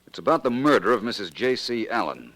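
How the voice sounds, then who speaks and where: An actor playing a suave, assured private detective with polite, precise speech in a 1940s radio drama. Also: male voice, lowish voice, sing-song, brisk, a bit bright. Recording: noisy old radio recording.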